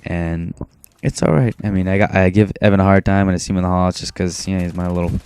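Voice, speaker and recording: A teenage boy from Maine rambling in a self-described monotone, talking casually over his gameplay with frequent restarts. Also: sits low, quick pace, clean tone, dry recording. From Maine, USA. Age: teens. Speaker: gaming commentator.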